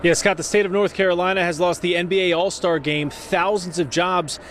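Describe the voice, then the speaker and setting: Male, higher-pitched, TV sports reporter, scripted live broadcast delivery. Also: steady tone, fairly quick, a bit bright, a little hiss or hum.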